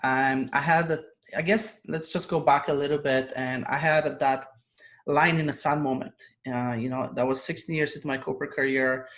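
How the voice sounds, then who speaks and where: A man's voice: medium pitch, steady tone, moderate pace, fairly bright tone. A man, a guest telling his story earnestly on a video call and laughing often. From Poland. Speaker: guest, online business coach. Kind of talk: casual interview over video call.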